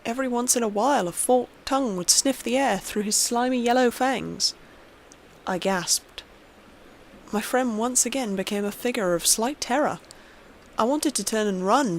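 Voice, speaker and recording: Woman narrating horror, faint noise behind. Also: a little high. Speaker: narrator, story reader. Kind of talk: scripted horror story narration.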